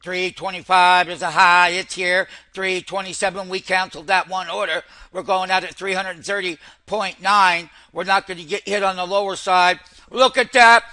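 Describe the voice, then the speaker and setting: High-pitched, very bright excitable man. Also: moderate pace. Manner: excitable, hype-driven, repeating phrases. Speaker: stock trader and host. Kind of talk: live trading commentary.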